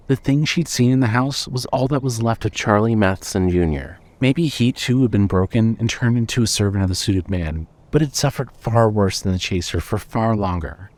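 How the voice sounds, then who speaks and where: A man's voice: fairly low pitch, a little mellow. A man reading scripted narration for a horror fiction audio drama.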